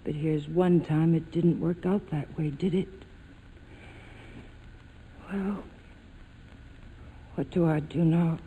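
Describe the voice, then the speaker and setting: A woman's voice: sits low, steady tone, slow pace, pauses often, phone-quality sound. A woman playing a determined, bitter young heroine in a 1940s radio drama, controlled and dramatic. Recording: faintly noisy vintage radio broadcast.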